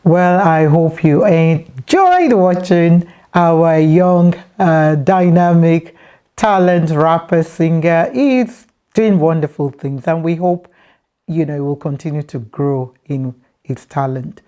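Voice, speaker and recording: A woman's voice: low voice, slow, warm tone. A woman hosting a talk show in a conversational interviewing style, often repeating answers back, recorded with echoey reverb.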